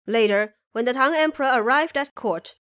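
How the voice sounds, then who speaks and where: A woman's voice: fairly high pitch, normal speed, a bit bright, smooth. A woman giving scripted storytelling narration for an audio drama.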